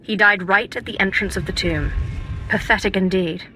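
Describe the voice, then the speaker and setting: A woman playing a bright, calculating Sith acolyte. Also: medium pitch, normal speed, faint music behind, close to an everyday voice, rough recording. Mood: deferential. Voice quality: cool, calculating. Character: cold, ambitious female Sith acolyte. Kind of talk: video game voice acting.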